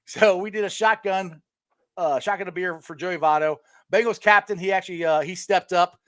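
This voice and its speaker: A middle-aged man hosting a casual sports chat, telling stories in a rambling, animated way with many restarts and laughs. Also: high voice, moderate pace, no room sound, studio quality. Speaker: podcast host.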